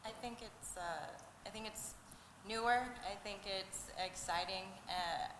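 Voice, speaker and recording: A woman's voice: medium pitch, measured pace, fairly bright tone. A woman fighter giving brief, somewhat hesitant answers at a press conference. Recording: echoey hall, faint noise.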